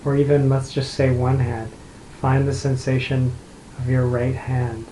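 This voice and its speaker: Mellow, unhurried man guiding meditation, roomy echo. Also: medium pitch, little pitch movement. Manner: unhurried, gentle instructing with long pauses. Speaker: meditation guide. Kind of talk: guided meditation, unscripted. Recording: roomy echo, light background noise.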